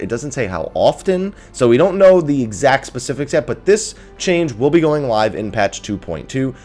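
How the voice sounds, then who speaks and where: A man with a stuffy, nasal voice rattles through a casual gaming news update, his pitch swinging up and down as he jokes at himself. Faint music plays quietly behind him. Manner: chatty, lively, self-amused asides. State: stuffy, nasal from just waking.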